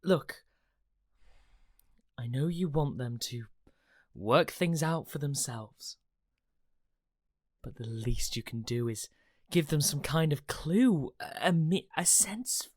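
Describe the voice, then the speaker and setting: A woman's voice: low voice, expressive, slow, pauses often, darkish tone, full character voice. A woman acting in a radio play as an earnest, idealistic immortal, questioning and pleading with emotional investment. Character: earnest, idealistic, challenging immortal counterpart of Death.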